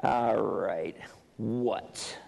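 A man's voice: lowish voice, extremely slow, vocal fry, darkish tone, full character voice. A man acting an exasperated, overworked friend with a sarcastic, emphatic way of talking in a comic drama, in a reverberant hall. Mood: wry, wary. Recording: reverberant hall with background hiss.

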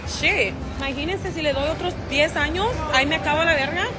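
A woman's voice: sits high, quick pace, music in the background, crowd noise. A woman in her thirties with a Mexican accent, venting brashly and profanely on a phone livestream and slipping into Spanish. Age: 30s. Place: outdoors near traffic.

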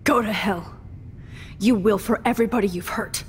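A woman's voice: medium pitch, moderate pace, lightly acted. A woman acting a defiant, angry teenage captive in a video game, bitter, terse and accusing. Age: young adult. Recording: long echoey reverb, faint hiss.

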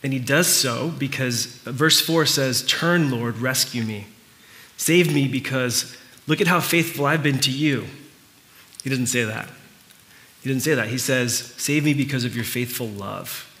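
A middle-aged man whose first language is Spanish, preaching a conversational sermon in an earnest, informal way with halting pauses and repeats. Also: medium pitch, bright, forceful tone. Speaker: pastor preaching. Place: church hall with stage, indoors, before a congregation.

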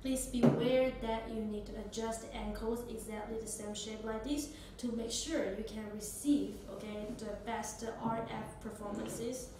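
A young woman demonstrates a product step by step, speaking in halting phrasing. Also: fairly high pitch, fairly even intonation. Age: young adult. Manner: halting non-native English phrasing. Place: small indoor office or meeting room. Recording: echoey room, distant mic, noisy background.

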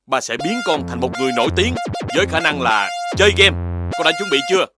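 A patient father dog, voiced by a man in a cartoon, talking fast but calmly as he lectures his boy. Music plays clearly behind him. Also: on the high side, natural voice. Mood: lecturing. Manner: calm, gently lecturing. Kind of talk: cartoon voice acting. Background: background music.

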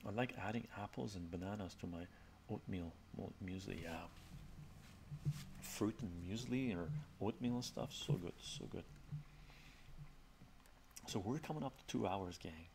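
A middle-aged man, Armenian by birth, chats in a relaxed, rambling way with fillers, as a livestream host in his home study. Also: fairly low pitch, a little slow, creaky, pauses often, a little hiss or hum. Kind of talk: casual soft-spoken chat with viewers.

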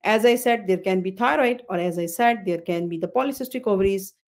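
A woman's voice: mid-range voice, brisk, a little mellow. A woman in her fifties gives a medical webinar from a computer mic on a video call. She explains each slide patiently, repeats phrases, and stops to correct herself with "uh". She is a doctor talking to an online audience. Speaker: OB-GYN consultant hosting a webinar. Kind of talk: webinar lecture over slides.

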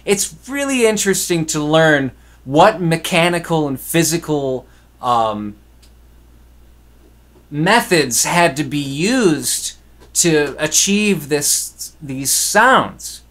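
A man's voice: a little high, expressive, takes it slowly, a bit bright. A young man giving a casual, conversational tutorial in a laid-back way, recorded in a small home studio. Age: young adult. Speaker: musician hosting a recording tutorial. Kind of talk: casual instructional talk to camera.

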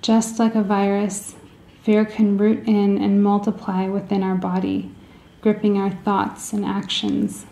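Slow, mellow woman guiding meditation. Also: medium pitch, completely flat delivery, vocal fry, reverberant, poor audio. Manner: soothing, gentle, deliberate phrasing with pauses. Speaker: guided meditation leader. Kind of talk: guided meditation.

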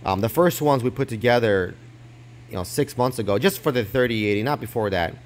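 A man's voice: medium pitch, fairly quick. A man giving a casual hands-on tutorial, explaining step by step while filming close up on his phone at a desk. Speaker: crypto mining hardware explainer. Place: indoors at a desk. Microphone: phone held close, filming his hands.

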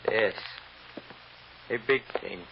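A man's voice: slow pace, natural voice. A man playing a burdened, secretive immigrant husband and father in an old-time radio drama, speaking in a guarded, terse, weary way. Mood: burdened, sad. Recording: muffled, noisy old radio recording.

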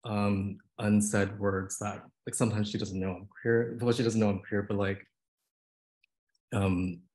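A man's voice: fairly low pitch, flat delivery, unhurried, a little mellow. A young man presenting his photography on a video call, talking casually and nervously with lots of fillers. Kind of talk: casual artist talk.

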